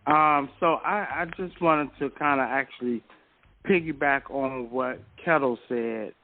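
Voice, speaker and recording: A man's voice: mid-range voice, unhurried. Middle-aged man calling in on muffled phone. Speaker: phone-in caller. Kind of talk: casual opinion. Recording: narrow muffled phone line.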